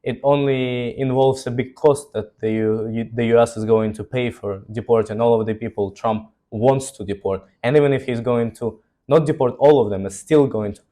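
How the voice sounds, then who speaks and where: A man's voice: medium pitch, fairly even intonation, normal speed, few pauses, warm tone. A young man hosting a podcast, putting questions to his guest in somewhat hesitant phrasing. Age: young adult. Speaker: podcast host, interviewer. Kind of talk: interview questioning.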